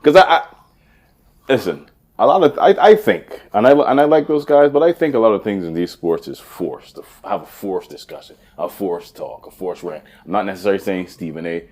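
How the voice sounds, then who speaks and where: A man in his forties from the West Indies, co-hosting a casual podcast chat, animated and quick to laugh. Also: sits low. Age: 40s. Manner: animated, laughs often, restarts sentences. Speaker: podcast co-host.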